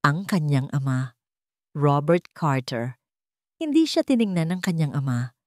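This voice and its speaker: A woman tells a scripted drama as its narrator. Her voice sits low for a woman. The recording is dry, and the delivery is that of dramatic storytelling. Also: very animated, unhurried, no room sound.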